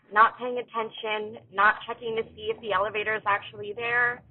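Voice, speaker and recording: An American woman casually telling a ghost story as a listener contribution, on a phone-like recording. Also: fairly high pitch. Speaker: listener storyteller, haunted tour guide.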